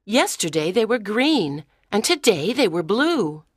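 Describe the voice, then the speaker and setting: A curious, imaginative schoolgirl in her teens, played by a woman narrator. She is excitable, with lively, swinging intonation. The audiobook sound is dry and close. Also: medium pitch, unhurried, no echo, pitched above the performer's own voice. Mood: excited, suspicious. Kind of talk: audiobook dialogue.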